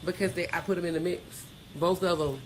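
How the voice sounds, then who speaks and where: A woman's voice: fairly low pitch, fairly even intonation, a little fast, slight background noise, rough recording. A middle-aged woman giving a candid interview as a podcast guest, speaking in a blunt, emphatic, plain-spoken way.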